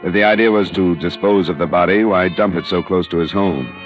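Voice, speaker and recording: Hard-boiled American private investigator, male actor, very low voice, terse, vintage radio sound with some music. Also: brisk, natural voice. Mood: dry, matter-of-fact. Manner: clipped, dry, matter-of-fact. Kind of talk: 1950s radio detective narration and dialogue. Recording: dull vintage radio recording.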